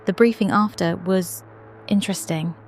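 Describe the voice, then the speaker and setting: A woman acting as a young lieutenant aboard a space station. She records her log in a measured, reflective way, modest and dutiful, with an ordinary mid-range voice in audio drama style. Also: medium pitch, a little hiss or hum, natural voice.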